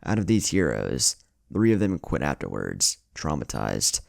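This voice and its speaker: A man voicing a small, composed creature who explains things gravely to a meeting of heroes in an audio story. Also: rumbling low, very animated, no room sound, pitched below the performer's own voice, heavily acted. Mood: grave, explanatory. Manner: measured, explanatory.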